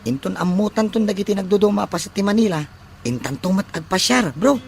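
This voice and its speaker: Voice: mid-range voice, brisk, vocal fry, close to an everyday voice. A performer playing a practical young male student from the province, with an Ilocano accent in a radio drama. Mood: friendly. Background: faint music.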